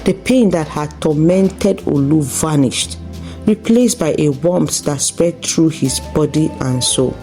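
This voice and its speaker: A woman narrates a folktale as a scripted storyteller. Her voice sits low and has a dark, mellow tone, with music playing behind her. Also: slow.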